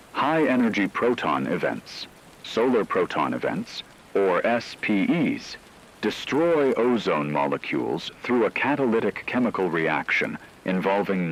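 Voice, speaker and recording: A man playing a grave radio broadcaster delivering a measured, formal emergency report on a global catastrophe. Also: deep voice, a little hiss or hum, full character voice, poor audio. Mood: grave, explanatory.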